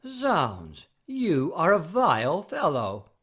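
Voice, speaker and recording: Casting: actor as old male aristocrat, pompous, commanding, slow, with extremely swooping intonation. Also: mid-range voice, huge pitch swings, a bit bright, husky, exaggerated voice. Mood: haughty outrage. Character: pompous, outraged old gentleman father-in-law. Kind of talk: audio play of classic stage comedy.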